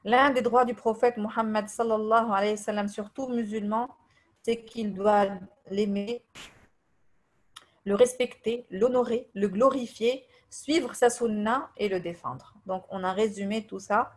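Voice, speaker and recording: A woman from Tunisia whose first language is Arabic, giving a religious lesson in French, hesitating often with fillers, reading translations and reciting verses quickly. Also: medium pitch. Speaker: religious teacher. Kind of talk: religious lesson over voice messages.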